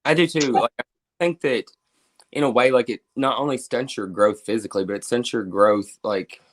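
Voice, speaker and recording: A man's voice: mid-range voice, moderate pace. A man in his twenties with a Kentucky accent, a guest talking openly about his life in a casual interview. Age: 20s. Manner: open and talkative, with frequent fillers.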